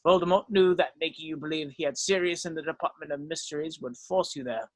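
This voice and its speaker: Man playing a grave old wizard headmaster, high-pitched. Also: dry recording, lightly acted. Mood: solemn, explaining. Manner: measured, solemn, explanatory. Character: grave, weary old male wizard headmaster, gently remorseful. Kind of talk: dramatic script read-through.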